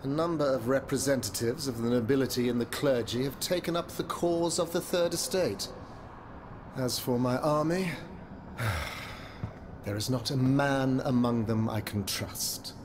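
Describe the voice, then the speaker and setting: A man's voice: medium pitch, measured pace, background noise, natural voice. A man playing a proud French king in a video game, speaking in a formal, declamatory, courtly manner. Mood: grave, troubled. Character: regal, proud French king addressing his queen. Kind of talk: dramatic video game cutscene acting.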